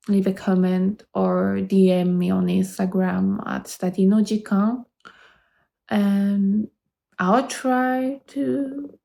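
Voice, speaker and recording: A woman in her twenties speaks English with a Polish accent, chatting casually and circling back over her words. Her voice is slow, dark and gravelly. The room around her adds a noticeable echo. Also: medium pitch. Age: 20s. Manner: rambling, halting, many restarts. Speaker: vlogger. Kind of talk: casual chat to camera.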